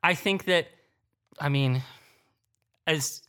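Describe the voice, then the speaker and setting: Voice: medium pitch, slow, a bit bright, clean tone. A panelist speaking in a critical roundtable discussion.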